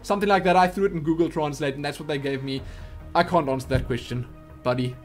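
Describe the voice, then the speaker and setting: Animated young South African man vlogging. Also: on the high side, fast, faint music behind. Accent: South African English. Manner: animated, chatty, theatrical asides. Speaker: YouTube gaming host. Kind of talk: casual Q&A vlog. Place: small home room with posters.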